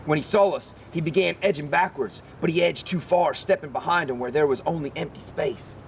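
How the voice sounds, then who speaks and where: A man reading a children's picture book aloud as an expressive narrator. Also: on the high side, brisk, creaky, a little hiss or hum. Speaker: story reader.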